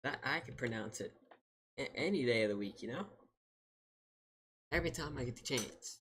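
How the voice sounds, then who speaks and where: A man hosting a livestream, chatting casually with viewers in a playfully defensive, bantering manner with a slightly husky voice. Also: mid-range voice, expressive, moderate pace, a bit bright, slight background noise. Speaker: livestream host.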